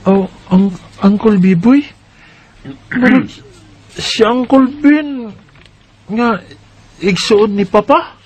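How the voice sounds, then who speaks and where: A man's voice: high-pitched, slow, long pauses, darkish tone. A man playing an eleven-year-old boy who narrates his own story in a radio drama, earnest and observant. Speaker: narrator and main character.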